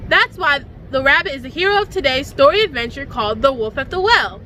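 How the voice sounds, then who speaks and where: A woman's voice: sits high, lively intonation, brisk, pushed and bright, slight background noise. A woman co-hosting a children's educational storytime, presenting to young viewers. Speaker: co-host.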